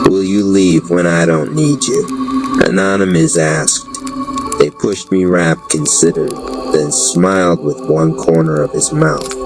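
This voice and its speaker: A man narrating a dark fantasy story in a measured, storytelling manner. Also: low-pitched, gravelly. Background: background music.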